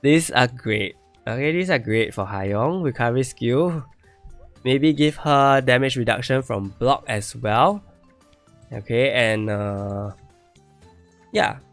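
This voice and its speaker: Gravelly man losing his voice. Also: medium pitch, slow, pauses often, no room sound, soft background music. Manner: thinks aloud, repeats phrases to himself. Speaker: game streamer reviewing viewer accounts. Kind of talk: casual live-stream commentary.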